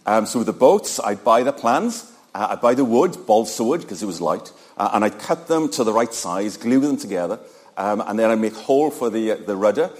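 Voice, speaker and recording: A man preaching a sermon to a church congregation in a relaxed, conversational way, with frequent "uh" and "um". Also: fairly low pitch, a little fast.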